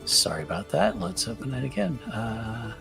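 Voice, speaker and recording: A man's voice: medium pitch, sing-song, normal speed, creaky, over music. A man taking part as a player in a tabletop game, talking casually and hesitantly. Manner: hesitant, lots of fillers.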